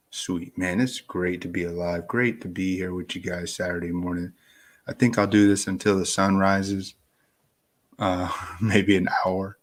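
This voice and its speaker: A man who just woke up, sounding groggy, rambling in a slow, laid-back live-stream chat about fantasy football. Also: low-pitched, fairly bright tone. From Florida. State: groggy and deep-voiced from little sleep.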